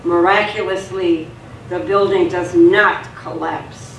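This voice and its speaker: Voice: medium pitch, fairly even intonation, slow, a bit bright. A narrator reading scripted dramatic narration for a live radio theatre piece. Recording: echoey room, distant mic, faint noise.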